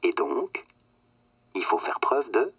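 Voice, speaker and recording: Smug corporate boss, bright-voiced. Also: male voice, on the low side, huge pitch swings, slow pace, gravelly, husky, heavily acted. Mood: patronizing. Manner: patronizing, self-satisfied, hesitating with filler words. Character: smug, condescending corporate boss phoning an employee. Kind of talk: satirical radio drama acting.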